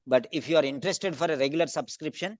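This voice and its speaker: A man running a live online speaking class, his voice slightly raised and bouncing quickly from student to student by name. The sound is close and dry, with no room around it, heard over a video call. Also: a little high, dry recording. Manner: brisk, calls on students by name. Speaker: English speaking teacher. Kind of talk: online class feedback round.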